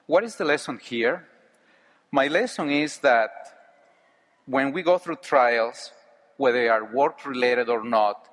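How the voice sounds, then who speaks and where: A middle-aged man from Mexico, speaking English with a Mexican Spanish accent, giving an earnest, measured personal testimony speech. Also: medium pitch, fairly bright tone. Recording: hall with long reverb.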